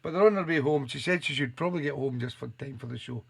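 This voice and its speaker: Gravelly-voiced radio DJ. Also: male voice, medium pitch, fast. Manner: chatty, reels off listener names. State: gravelly, hoarse voice after a night out. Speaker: radio DJ and host. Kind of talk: live online radio show, greeting listeners by name. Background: soul music playing.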